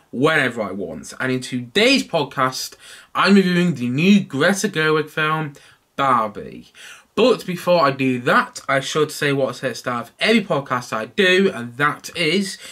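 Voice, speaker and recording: Bright-voiced young man reviewing a film. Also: a little high. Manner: animated, waves his hands while talking. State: stuffy nose from hay fever. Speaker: podcast host. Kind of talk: casual solo film review podcast. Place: small home room full of collectibles.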